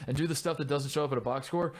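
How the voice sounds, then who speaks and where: A man's voice: fairly high pitch, racing. A man co-hosting a sports podcast, talking casually and rambling with restarts and frequent swearing. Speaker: podcast co-host.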